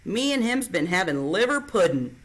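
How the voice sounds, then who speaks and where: A woman's voice: medium pitch, sing-song, moderate pace. A woman reading aloud gives voice to a folksy old drifter man from a storybook. He talks in an easy, homespun drawl.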